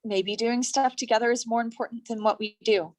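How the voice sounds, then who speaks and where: A woman's voice: fairly high pitch, fairly even intonation, brisk, natural voice. A woman playing an affectionate, thoughtful young girlfriend in a staged play reading. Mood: tender.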